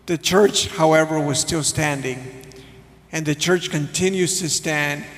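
A man introduces a visiting pastor to the congregation, speaking very slowly and deliberately. His voice is gravelly and pitched a little high for a man. The sanctuary adds a long, ringing reverb. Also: fairly bright tone. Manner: slow, measured. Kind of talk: formal introduction. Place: large church sanctuary.

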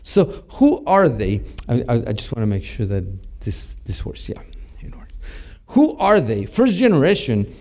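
Mexican-accented pastor, halting and mellow. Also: male voice, medium pitch, big pitch swings. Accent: Mexican Spanish. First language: Spanish. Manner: halting, many fillers and restarts. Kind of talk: teaching talk to a church audience.

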